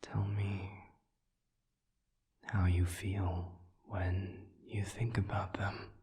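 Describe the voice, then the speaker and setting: A suave demon, played by a man, speaks in a very low, breathy half-whisper. He is extremely slow and deliberate, leaving long pauses between formal, carefully chosen words. His patient, soothing counsel suits an intimate audio roleplay. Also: level tone, darkish tone. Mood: gently probing. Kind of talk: audio roleplay, intimate one-sided dialogue.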